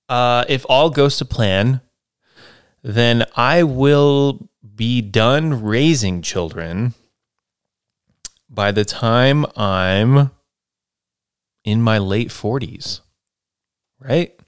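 A man in his late twenties talks alone into a close mic, his lowish voice smooth and resonant. The sound is dry and intimate. He rambles and reflects, checking in with little "right?" tags as he goes. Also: dry recording. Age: 20s. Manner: rambling, reflective, lots of fillers and tag questions. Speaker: podcast host. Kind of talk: casual solo podcast monologue.

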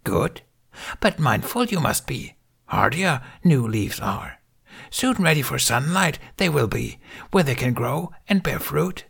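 A man voicing a tiny, ancient, serene alien master who speaks in inverted, riddling sentences with a high, half-whispered voice. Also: sing-song, unhurried, creaky, husky, heavily acted. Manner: inverted word order, gentle and riddling. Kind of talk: audiobook character voice.

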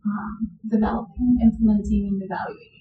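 A woman from Colombia, a health educator, answering interview questions in a measured, thoughtful way with many pauses. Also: mid-range voice, steady tone, background noise. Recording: dull, echoey, distant sound.

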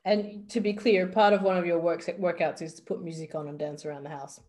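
A woman's voice: fairly low pitch, a little fast, darkish tone. A woman hosting a casual interview, prompting her guest and encouraging her with short affirming replies. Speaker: host, personal trainer.

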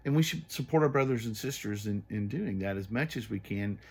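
An earnest man in his thirties with a fairly low voice, who talks slowly through his thoughts and repeats words as he looks for the right ones. His delivery is sincere and conversational. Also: expressive, a little mellow, husky. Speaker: podcast co-host. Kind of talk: casual faith conversation.

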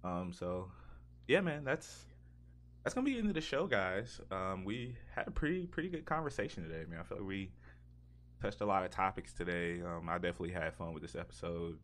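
Male podcast host, very low voice, laid-out informal streamer chat with slangy asides. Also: expressive, fairly quick, a bit bright. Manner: informal, slangy, punctuates sentences with "man" and "bro".

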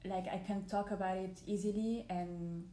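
Woman, 20s, native French speaker, relaxed and dryly teasing; mellow voice in a roomy space with faint ambience. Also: mid-range voice, moderate pace. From France. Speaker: podcast guest. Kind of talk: casual conversation.

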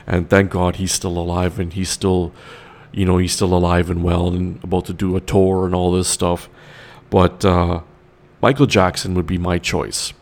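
A man's voice: low-pitched, level tone, moderate pace, gravelly, a little mellow. A man, rambling enthusiastically as a solo show host, full of fillers and restarts. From Canada. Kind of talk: casual solo talk.

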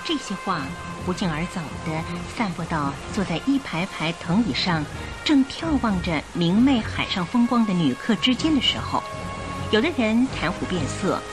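A woman narrating a radio drama in an expressive, suspenseful storytelling style. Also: lowish voice, normal speed, low-quality recording. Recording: music bed behind the voice. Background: music.

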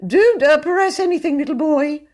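A timid, pompous gentleman from a storybook. The voice sits very high and quavers as it swings up and down. He is plaintive and hesitant, forever pleading. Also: big pitch swings, slow pace, exaggerated voice. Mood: frightened pleading. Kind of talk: storybook character voice.

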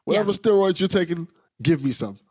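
A man hosts a podcast chat, playful and hyped, cursing for emphasis. His voice is slightly husky, with lively, swooping pitch. The sound is dry and close. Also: a little fast, no echo. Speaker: podcast host. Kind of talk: casual chat.

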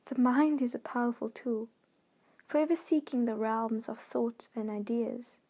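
A woman reading a scripted devotional in a measured, earnest way. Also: fairly high pitch, dark tone. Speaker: devotional reader.

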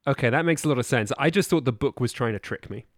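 A man's voice: medium pitch, quick pace, dry recording. A man in casual podcast conversation, asking questions and reasoning things through.

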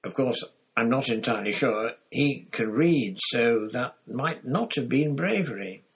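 A man reading aloud and voicing an elderly male headmaster wizard who speaks in a measured, gently dry way. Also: medium pitch, animated, husky, pitched above the performer's own voice, full character voice. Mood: wry, kindly firm.

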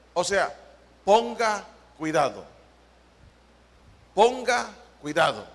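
A Salvadoran man preaching a Bible study as pastor, with slow, emphatic delivery that stretches key words and puts questions to the congregation. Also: high voice, creaky, a bit bright. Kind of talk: sermon. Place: church hall. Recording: long church-hall reverb.

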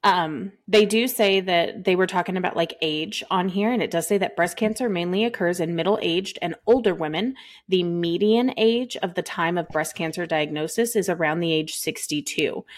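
A woman's voice: on the low side, normal speed. A woman from Texas, co-hosting a podcast in a rambling, casual way with lots of fillers. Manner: rambling, laughs often, many fillers. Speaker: podcast co-host.

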